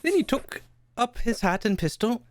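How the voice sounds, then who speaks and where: A narrator reading a radio play, somewhat haltingly, often tacking "eh" onto sentences. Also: medium pitch, sing-song, a little hiss or hum.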